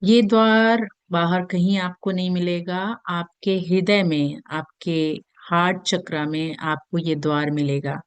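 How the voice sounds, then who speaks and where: Woman, Indian accent, guided meditation, very slow pacing, gentle and soothing, long pauses, dry close sound. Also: lowish voice, fairly even intonation, clean tone, no room sound. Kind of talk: guided meditation in Hindi mixed with English.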